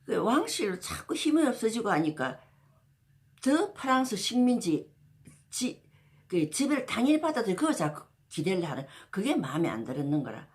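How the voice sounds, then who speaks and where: A woman's voice: medium pitch, very animated, moderate pace. A woman, casually telling a history story as a channel host, rambling with many fillers and restarts. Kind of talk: casual spoken history talk.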